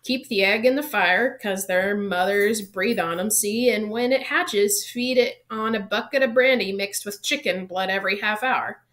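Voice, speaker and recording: A big, bearded gamekeeper from a storybook, voiced by a woman reader. He is friendly and proud, open to flattery, and talks in a blunt, homely, informal way. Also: mid-range voice, normal speed, few pauses, close to an everyday voice. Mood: excited, proud. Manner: folksy, informal, blurting. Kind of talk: audiobook character reading.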